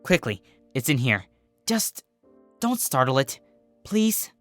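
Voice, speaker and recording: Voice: mid-range voice, sing-song, slow pace, faint music behind. A performer voicing a nervous, exasperated young female cartoon unicorn who is easily flustered and scolding. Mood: anxious.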